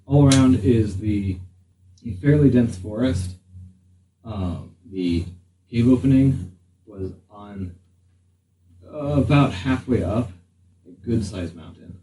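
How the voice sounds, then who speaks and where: A man acting as game master, narrating a tabletop game in an improvised, halting way as he thinks aloud. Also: fairly low pitch, lively intonation, mellow. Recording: slightly distant mic, faint room noise.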